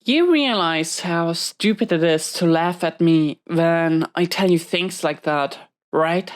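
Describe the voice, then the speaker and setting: A woman performs a dominant female inmate in an intimate audio drama. Her voice is deep for a woman and very smooth, with slow delivery. She gives curt commands, guarded and stern, with a hidden tenderness underneath. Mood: hurt, stern. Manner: commanding, clipped orders with hesitant confessions.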